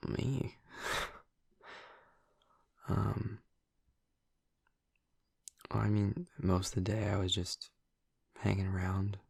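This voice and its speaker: A man acting as a tender male vampire boyfriend in an intimate audio roleplay. His voice is very deep and thick with fry, breathy and soft. He talks slowly and tentatively, right up close to the listener in a dry recording. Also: flat delivery, no echo, full character voice. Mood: shy, affectionate. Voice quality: husky, breathy, creaky. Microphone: close, dry microphone.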